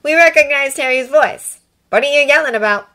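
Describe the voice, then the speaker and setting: A woman's voice: high voice, brisk, pushed and bright, pitched above the performer's own voice. A woman voicing a frank, inquisitive teenage girl in a dramatized story reading. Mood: curious, hesitant.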